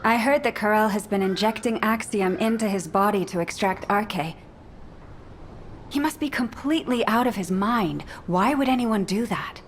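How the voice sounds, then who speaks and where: A woman's voice: medium pitch, moderate pace, slight background noise, natural voice. An actress playing an earnest, polite young female operative in a video game, eager and caring. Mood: earnest, respectful.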